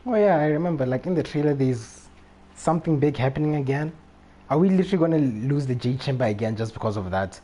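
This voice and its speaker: A man's voice: mid-range voice, expressive, fast talker, dark tone. A young man doing casual, slangy reaction commentary as he livestreams a video game. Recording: faint game music behind voice. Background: game music.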